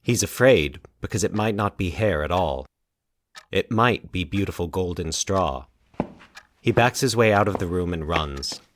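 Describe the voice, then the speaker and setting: A man's voice: low-pitched, lively intonation, a little slow, a little hiss or hum. A man giving dramatic scripted narration as a podcast host and storyteller.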